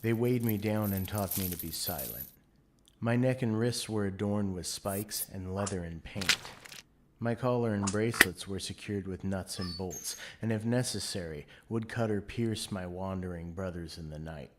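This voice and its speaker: A man's voice: sits low, normal speed, a bit bright, slight background noise. A man giving dramatic, brooding first-person scripted narration as the narrator of an audio play.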